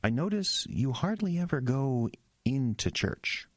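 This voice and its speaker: An actor playing an earnest, anxious young small-town pastor in an audio drama, halting and self-deprecating. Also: male voice, mid-range voice, very animated, no room sound, clean studio sound. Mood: nervous, awkward.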